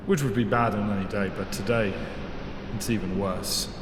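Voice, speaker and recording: Man acting knowing weary time traveller, fairly low voice, understated, reverberant hall, music behind. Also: steady tone, normal speed, background noise, natural voice. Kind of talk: fan audio drama acting.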